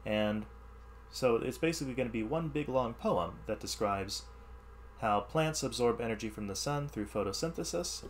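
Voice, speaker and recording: A man teaching through an expressive read-aloud of rhyming science verse, with explanatory asides in an instructional manner. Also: fairly low pitch, a little hiss or hum. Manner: animated, instructional.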